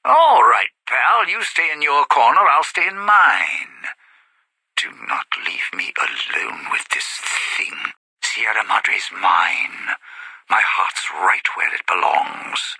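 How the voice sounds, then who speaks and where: An actor playing a cynical, scheming ghoul lounge singer and smug con man in a video game, with a raspy, gravelly voice and wry, muttering showman's delivery. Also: low voice, expressive, takes it slowly, sharp tone, dry recording, heavily acted. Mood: scornful, irritated. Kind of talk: video game character voice lines.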